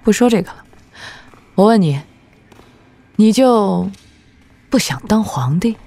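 A woman playing a proud, formidable martial-arts demon lord in an audio drama, with an imperious, measured delivery. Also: medium pitch, animated, dark tone, dry recording. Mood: wry, haughty.

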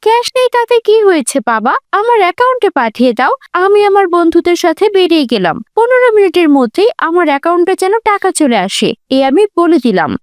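Woman playing a very shrill, haughty rich girl. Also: very animated, fast, hardly any pauses, dry recording, clean studio sound. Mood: haughty demanding. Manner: haughty, scolding, insistent. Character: arrogant, spoiled young rich sister, scornful and demanding. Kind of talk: melodramatic cartoon story dubbing.

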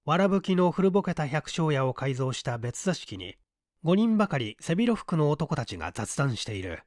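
A man's voice: a little high, very animated, moderate pace, no room sound, clean studio sound. A man narrating mystery fiction from a script as an audiobook reader, in a dramatic manner.

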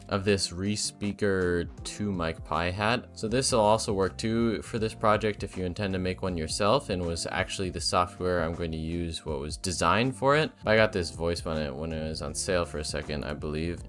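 A man's voice: low-pitched, a bit bright. A young man giving casual tutorial narration for a homemade electronics project. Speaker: hobbyist project vlogger.

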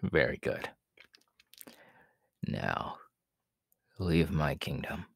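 A man voicing a menacing, haughty demon king in an ASMR audio roleplay, slow and imperious, in a gravelly, breathy half-whisper. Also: rumbling low, expressive, a bit bright, dry recording, studio quality, heavily acted. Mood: commanding. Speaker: voice actor.

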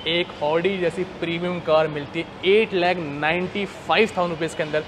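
A man's voice: fairly high pitch, steady tone, normal speed. An enthusiastic man, chatting casually as a vlog host. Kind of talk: casual Hindi-English vlog presenting. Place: indoor basement car showroom. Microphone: clip-on lapel mic. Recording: faint ambient noise of indoor car park.

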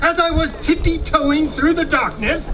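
A man's voice: very high-pitched, moderate pace. A man playing a folksy, aggrieved American dragoon sergeant from the 1840s in an outdoor stage reenactment. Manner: folksy, complaining, theatrical. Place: outdoor amphitheater in a park. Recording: distant outdoor microphone, background noise.

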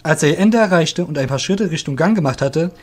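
A man's voice: a little high, animated, fairly quick. A man reading scripted narration for a fantasy audio drama as its storyteller.

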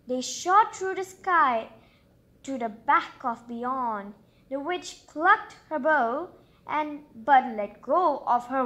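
A woman with a high, very bright voice reads a children's storybook aloud. She takes it slowly and expressively, dropping in informal, exclamatory comments as she goes. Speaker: storybook reader and channel host.

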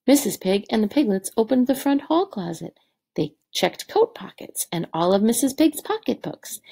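A woman's voice: a little high, very animated, moderate pace, vocal fry, warm tone, slightly rough. A woman teacher reading a picture book aloud to third graders, expressively and with direct questions to the children.

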